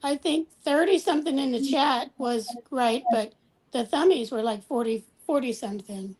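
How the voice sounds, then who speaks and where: An older woman in her sixties with a high voice. She chats casually and agreeably, like a friendly co-host on a live stream. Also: normal speed, darkish tone, slightly rough, rough recording. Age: 60s. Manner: agreeable, chatty. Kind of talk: casual livestream chat.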